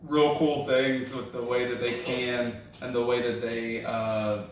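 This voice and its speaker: A man hosting a comedy podcast, chatting casually while tasting beer, relaxed with lots of fillers. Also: mid-range voice, a little slow, a little hiss or hum. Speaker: comedy show host. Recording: roomy echo, from a distance.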